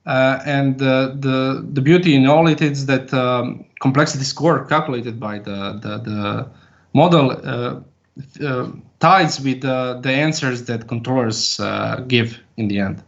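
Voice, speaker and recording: Male, medium pitch, PhD candidate fielding questions, hesitant explainer, muffled online-call sound. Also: vocal fry. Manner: halting, explanatory, with long hesitations. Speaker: PhD candidate defending a thesis. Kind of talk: formal academic question answering. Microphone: video call.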